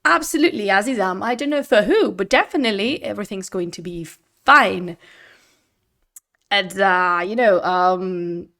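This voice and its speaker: Animated Spanish-speaking woman hosting a stream. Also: medium pitch, moderate pace. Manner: lively, laughing, drops to whispers. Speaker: host. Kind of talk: casual livestream chat before a reading.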